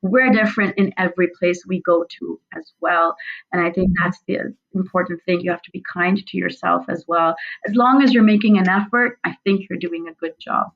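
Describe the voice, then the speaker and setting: A woman's voice: on the low side, moderate pace, lo-fi sound. A woman in her thirties whose first language is Urdu, a guest author speaking reflectively in a conversational interview. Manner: reflective, speaks in long flowing sentences.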